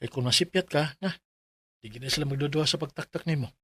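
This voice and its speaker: Friendly Cebuano office pal in radio drama, slightly high voice, colloquial, advising. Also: male voice, quick pace, a bit bright, breathy edge, no room sound. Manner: chummy, colloquial. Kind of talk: Filipino radio drama acting.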